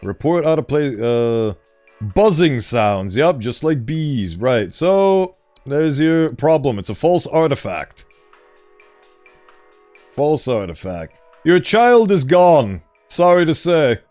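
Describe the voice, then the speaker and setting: A man with a smooth voice streaming a game, chatting casually and playfully reading game text aloud in a mock-formal tone. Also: fairly high pitch, sing-song, slow, a little mellow, faint music behind.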